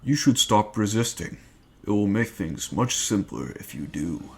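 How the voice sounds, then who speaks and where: A man's voice: lowish voice, sing-song, measured pace, gravelly, a little hiss or hum, exaggerated voice. A man playing a menacing conqueror supervillain in an amateur comic-book dramatic reading, with cold, theatrical deliberation that turns to rage. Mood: cold menace. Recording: music behind the voice.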